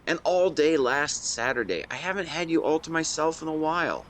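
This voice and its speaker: A man acting an affectionate, animated young best friend. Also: on the high side, moderate pace, slight background noise, lightly acted. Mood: fond, tender. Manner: teasing, tender, expressive. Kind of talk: scripted romantic audio roleplay, speaking to the listener.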